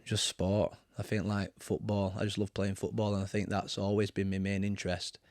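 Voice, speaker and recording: A man in his thirties with a Barnsley accent, a guest in a casual interview, talking in a relaxed, rambling way with many ums. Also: on the low side, normal speed, no echo, studio quality. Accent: Barnsley, Yorkshire. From England. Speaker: guest, football manager. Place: cluttered home studio, indoors. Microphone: studio mic with headphones.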